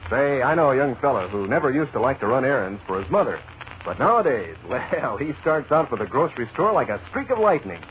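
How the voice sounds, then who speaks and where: A man's voice: mid-range voice, very animated, brisk. A man reading a folksy, chummy 1940s radio commercial with enthusiasm. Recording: muffled vintage radio, faint noise.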